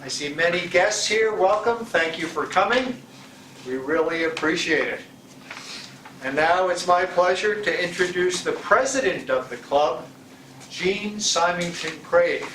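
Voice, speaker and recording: A man's voice: sits high, unhurried, a bit bright. A man politely welcoming guests and introducing the club president at the start of a meeting. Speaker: meeting opener. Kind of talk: formal welcome. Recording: echoey room, distant mic, background noise.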